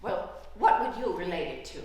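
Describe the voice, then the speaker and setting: A woman's voice: lowish voice, very animated, normal speed, a little mellow. An actress playing a genteel older woman, patient but firm, folksy and mildly protesting in a naturalistic stage drama. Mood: explaining. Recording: very echoey room.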